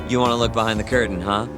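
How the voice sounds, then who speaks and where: Casting: male actor as a wry, knowing game informant, mid-pitched, conversational and slangy, music underneath. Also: natural voice. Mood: casual. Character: glib, wry male informant explaining shady political dealings. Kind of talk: English game dub dialogue, conversational exposition. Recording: music behind the voice.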